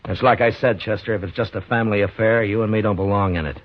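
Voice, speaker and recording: An American actor playing a calm, duty-bound frontier marshal in a 1950s radio western, measured and understated. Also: male voice, fairly low pitch, a little hiss or hum, natural voice. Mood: calm, firm. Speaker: lead actor and narrator of the story. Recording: dull vintage 1950s radio recording, music.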